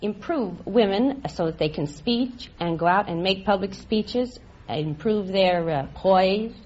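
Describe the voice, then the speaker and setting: A woman's voice: mid-range voice, expressive, a little slow, a little mellow. A middle-aged American woman answering quiz show questions in an articulate, forthcoming way. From Staten Island, USA. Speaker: quiz contestant. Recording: muffled old radio recording.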